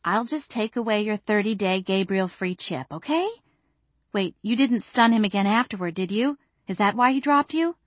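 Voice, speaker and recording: A woman voicing a blunt, protective young female best friend in an audiobook, with a brisk, teasing manner. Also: mid-range voice, animated, dry recording, voice pushed up, close to an everyday voice. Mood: brisk, reassuring.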